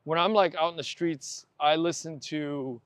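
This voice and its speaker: Guy in his 40s, kinda high voice, rambles with lots of you-knows, dry close sound. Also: fairly even intonation, measured pace, clean tone, dry recording. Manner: chatty, repeats words, leans on fillers. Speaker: podcast host, guest. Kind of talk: casual conversation while walking. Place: outdoors on a walk.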